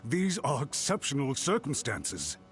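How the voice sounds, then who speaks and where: A high-strung, wildly animated retainer. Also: male voice, measured pace. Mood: indignant. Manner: fussy, protective, agitated. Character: anxious, suspicious male retainer guarding a noble lady. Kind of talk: anime game voice acting. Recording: game music behind.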